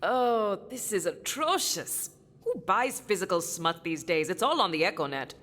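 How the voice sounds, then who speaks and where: A woman's voice: mid-range voice, animated, moderate pace, a bit bright, big-room sound, close to an everyday voice. A woman playing a candid, sardonic treasure hunter in a video game, casual and observant. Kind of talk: video game character dialogue.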